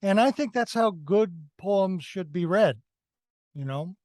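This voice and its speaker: A man with a fairly high voice speaks as a co-host in a casual review discussion. He explains things in a halting way, restarting and correcting himself. The sound is very dry and close, with no room echo. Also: lively intonation, a little mellow. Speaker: podcast co-host reviewing films.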